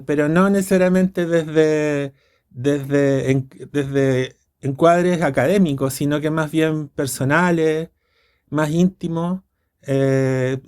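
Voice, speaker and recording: A man's voice: on the high side, darkish tone. A man with a Chilean accent hosting a podcast interview, upbeat and chatty, often stumbling on repeated words. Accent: Chilean Spanish. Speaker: podcast host.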